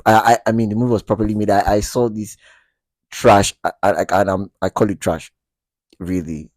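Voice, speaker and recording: A low-pitched man chats casually on a podcast. He talks with lively, defensive energy, laughs easily and trips over his own restarts. The sound is close and dry. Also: a little fast, no echo. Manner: animated, defensive, laughs often, many restarts. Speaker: podcast co-host. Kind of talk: casual chat.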